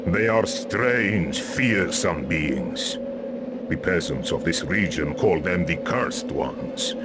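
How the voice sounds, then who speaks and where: A man playing a solemn old ice mage, speaking gravely and formally in a fantasy video game. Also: deep voice, expressive, takes it slowly, very noisy. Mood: ominous.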